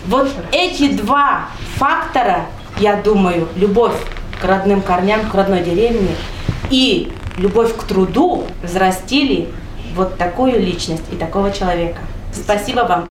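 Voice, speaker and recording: A woman hosts a club gathering in a library hall, reciting verse and speaking in a measured, recital-like way. Her voice sits in a middle register. The room rings with echo, and a clear layer of background noise runs underneath. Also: mid-range voice. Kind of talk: hosting remarks and reciting poetry. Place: library meeting hall, indoors.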